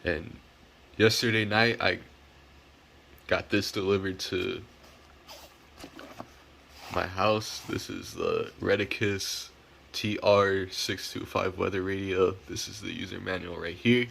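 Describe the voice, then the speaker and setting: Low-voiced man, casual unboxing vlog. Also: little pitch movement, slow pace, creaky, long pauses, a bit bright. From United States. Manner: informal, occasionally swearing. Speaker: hobbyist video host. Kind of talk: casual unboxing and setup demo.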